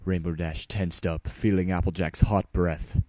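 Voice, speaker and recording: A man's voice: sits low, steady tone, a little slow, warm tone, no echo. A man reading a scripted story aloud as a dramatic narrator. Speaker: fan fiction narrator. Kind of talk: dramatic scripted narration, spoofing an audiobook reader.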